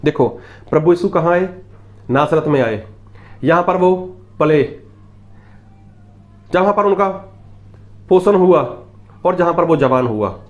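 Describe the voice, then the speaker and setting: A man's voice: fairly high pitch, lively intonation, slow, long pauses. A man from Punjab, preaching a sermon in a repetitive, emphatic teaching style and asking rhetorical questions. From Punjab, India. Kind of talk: Hindi sermon with Bible readings.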